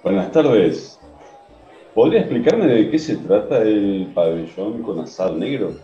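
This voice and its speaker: A polite Argentine man in a radio soap, played by an actor. His voice is extremely dark and soft-edged, in a dull, echoey recording. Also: lowish voice, expressive, measured pace, faint music behind. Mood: courteous. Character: polite Argentine male bar customer. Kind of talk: Spanish-language radio soap acting. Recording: dull, echoey room sound.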